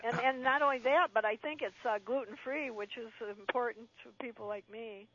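A woman's voice: a little high, brisk, a bit bright. Upbeat seventy-year-old woman on the phone. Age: 70s. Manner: upbeat, talkative, tells anecdotes. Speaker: radio caller. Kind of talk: casual phone-in chat. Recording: muffled phone line, dry.

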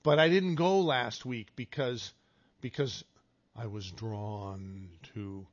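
A man's voice: fairly low pitch, slow pace, no echo. Rambling talk radio host man. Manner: rambling, jokey, restarts phrases often. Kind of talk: casual call-in radio chat. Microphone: studio microphone. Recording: dull, dry radio studio sound.

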